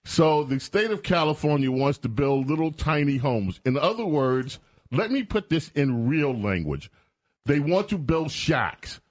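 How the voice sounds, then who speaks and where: A man's voice: fairly high pitch, big pitch swings, unhurried, dry recording. Sarcastic male talk radio host. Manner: sarcastic, mocking. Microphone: studio microphone.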